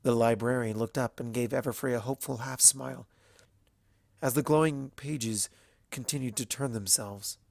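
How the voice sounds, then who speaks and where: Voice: mid-range voice, moderate pace, vocal fry, a little mellow, no echo. A narrator reading fan fiction aloud as scripted, dramatic storytelling.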